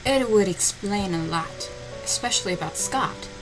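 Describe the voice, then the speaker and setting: A woman reads a story aloud in a sarcastic, theatrical way and adds mocking commentary. Her voice sits at a middle pitch, with music playing clearly behind it. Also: expressive, moderate pace, low-quality recording. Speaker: host reading fan fiction aloud. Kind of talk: sarcastic dramatic reading with commentary.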